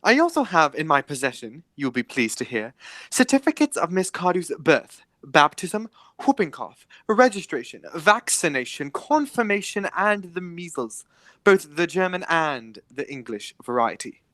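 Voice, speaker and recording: Victorian gentleman guardian voiced by an actor for radio comedy: formal, dryly polite, lively intonation, close dry sound. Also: medium pitch, no room sound. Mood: dry, pointed. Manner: measured, formal, dryly emphatic. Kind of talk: stage comedy as amateur radio play.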